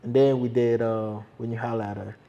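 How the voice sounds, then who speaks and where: A man's voice: mid-range voice, brisk, creaky, lo-fi sound. Laid-back man, rambling casual talk. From Guinea. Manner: relaxed, rambling, lots of "like" and restarts. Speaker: podcast host and video creator. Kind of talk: casual conversation.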